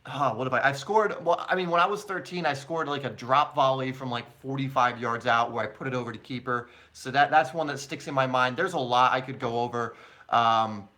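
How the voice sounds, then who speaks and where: A man's voice: mid-range voice, a little fast, pushed and bright. A man from England who has long lived in the United States, hosting a soccer live stream and answering questions in a casual, chatty, informal way. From born in England, long living in the United States. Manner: chatty, informal, addresses viewers as mate and guys.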